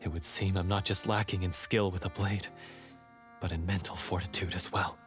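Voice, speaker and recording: A man's voice: low-pitched, fairly even intonation, moderate pace, fairly bright tone. A man playing a remorseful, self-doubting young swordsman in a fantasy game, earnest and hesitant as he speaks. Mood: ashamed, confessional. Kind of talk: scripted fantasy game dialogue. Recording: music playing under the voice.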